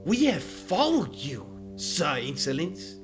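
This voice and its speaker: A man's voice: fairly high pitch, big pitch swings, slow. A man playing a proud, defiant warrior hero in a dramatized epic audiobook, declaiming with bold indignation over music. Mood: contemptuous, angry. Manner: declamatory, forceful oratory.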